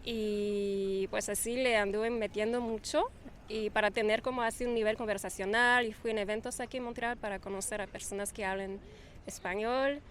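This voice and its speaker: Lady, kinda high voice, laid-back interview chat w lots of ums, faint room noise. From Quebec, Canada. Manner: relaxed, laughs often, hesitant fillers. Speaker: guest. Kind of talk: casual interview conversation.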